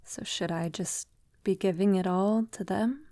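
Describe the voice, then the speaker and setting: A woman playing a gentle, conscience-troubled vampire lover in an intimate ASMR audio roleplay, speaking softly, tenderly and hesitantly. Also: medium pitch, measured pace, no room sound, close to an everyday voice. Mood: pensive, troubled. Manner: soft, tender, hesitant, often dropping to a whisper. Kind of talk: ASMR girlfriend roleplay, soft intimate acting.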